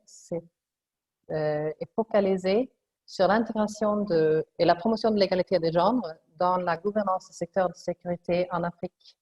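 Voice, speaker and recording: A woman with a Swedish accent hosts a webinar panel, introducing speakers in a formal, halting way as she hunts for the right words. Her voice sits a little low, and it comes through a dull, lo-fi video call line. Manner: halting, searching for words in French. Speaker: webinar moderator.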